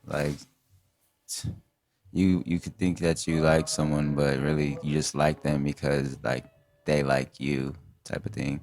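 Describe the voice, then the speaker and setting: A man's voice: a bass voice, level tone, measured pace, darkish tone. A man co-hosting a podcast, chatting casually in slangy, informal phrasing. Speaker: podcast co-host. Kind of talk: casual chat.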